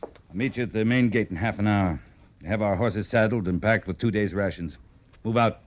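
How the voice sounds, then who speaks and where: Stern frontier cavalry captain, male actor, low register, clipped and grave, classic radio western. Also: normal speed, natural voice. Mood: firm, commanding. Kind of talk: classic old time radio drama acting. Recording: vintage radio drama recording.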